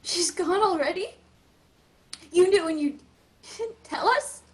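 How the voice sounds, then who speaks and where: A woman voicing a frantic, high young girl. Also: takes it slowly. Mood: distraught. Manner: pleading, tearful. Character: young girl, frantic over her missing mother. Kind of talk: table read acting.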